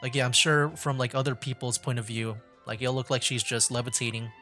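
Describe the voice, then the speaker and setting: A woman with a very deep voice chats casually as a game streamer. She thinks aloud in a hesitant way, with faint music behind her. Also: steady tone, fairly quick. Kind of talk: casual live gameplay commentary.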